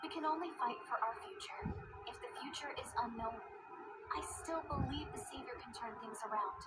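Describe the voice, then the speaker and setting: A woman's voice: fairly high pitch, fairly quick, close to an everyday voice. A woman playing a hopeful, devoted young heroine in an anime-style video game. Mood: tender resolve. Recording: game audio under very loud noise.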